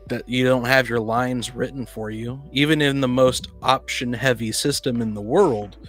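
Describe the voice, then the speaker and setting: A man's voice: mid-range voice, a bit bright. A man on a podcast panel in casual debate, arguing insistently and cutting in to press his point. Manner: argumentative, insistent, interrupting.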